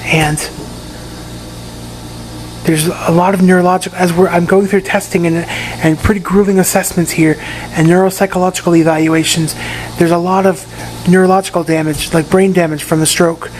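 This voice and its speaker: A man with a hoarse, raspy voice giving a casual video update from his room in a rehab facility, often repeating and restarting his words. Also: high-pitched, flat delivery, moderate pace, a little hiss or hum, rough recording. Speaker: patient giving a recovery update.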